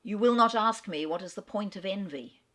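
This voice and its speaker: A woman reading the lines of an earnest gentleman who halts, then declares his love with solemn urgency. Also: mid-range voice, lively intonation, moderate pace, lightly acted.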